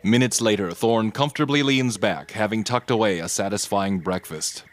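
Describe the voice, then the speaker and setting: A man's voice: fairly low pitch, normal speed. A man giving scripted, present-tense dramatic narration for an audio mystery.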